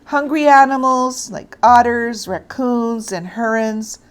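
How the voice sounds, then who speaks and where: A woman narrating a children's story aloud in an expressive, deliberate read-aloud style. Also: a little high, soft background music.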